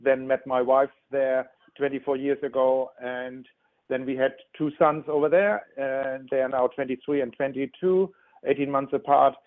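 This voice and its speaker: A German-accented man in his sixties talks earnestly as an interview guest, repeating his first words before each point. His voice comes through thin and narrow, like a phone call. His pitch sits in the middle. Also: fairly even intonation, moderate pace. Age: 60s. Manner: earnest, explanatory, frequent stutter-restarts. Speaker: relationship expert guest. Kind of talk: interview answers.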